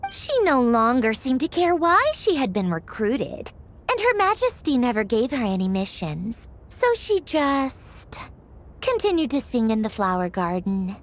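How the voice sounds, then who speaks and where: A woman's voice: fairly high pitch, very animated, measured pace, slight background noise. A woman voicing a proud, irritable, overworked young woman official in a game, emphatic and sardonic. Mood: wry, exasperated. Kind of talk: dramatic game voice acting. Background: background music.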